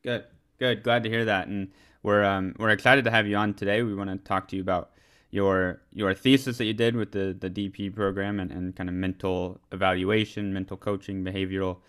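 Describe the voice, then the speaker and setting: Deep, flat-voiced podcast host. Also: male voice, brisk, smooth. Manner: relaxed, hedging with "kinda" and "you know", repeats words. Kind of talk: casual podcast chat.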